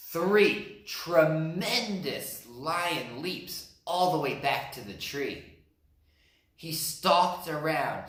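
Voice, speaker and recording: Brief: male teacher reading to kids, bright voice, lively pitch. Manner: slow, expressive narration. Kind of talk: storybook read-aloud. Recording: roomy echo.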